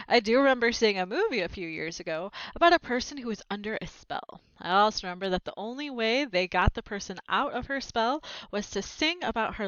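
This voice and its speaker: A woman's voice: fairly high pitch, fairly bright tone, dry recording, highs cut off. A woman reading a children's book aloud expressively, breaking in with casual, plain asides to explain the story. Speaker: read-aloud narrator with commentary.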